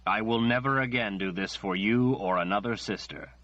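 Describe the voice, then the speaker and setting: A man's voice: sits low, lively intonation, unhurried, a bit bright, breathy edge, no room sound, heavily acted. A man voicing an older male prophet, solemn, sad and unbending. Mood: stern. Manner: grave and solemn. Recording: dull lo-fi recording.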